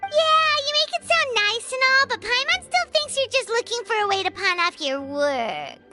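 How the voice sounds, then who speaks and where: A tiny fairy companion for a video game. The voice is squeaky, childlike and extremely high, bouncing around with blunt, cheeky exclamations. Light music sits behind it. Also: female voice, sing-song, normal speed. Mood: suspicious. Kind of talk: video game cartoon-like voice acting.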